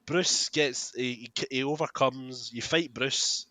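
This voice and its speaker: A man telling stories on a casual podcast, animated and swearing freely. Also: mid-range voice, slow, dry recording. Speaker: podcast co-host. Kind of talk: casual chat.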